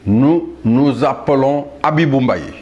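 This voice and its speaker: Slow, measured older Senegalese-born man. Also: medium pitch, a little mellow, highs cut off. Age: 70s. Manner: measured, halting, with pauses and restarts. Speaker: speaker addressing the world. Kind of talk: slow solemn monologue.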